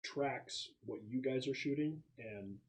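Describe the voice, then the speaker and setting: A man's voice: mid-range voice, slow pace. Man casually running a tabletop game. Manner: explanatory, joking. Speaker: game master.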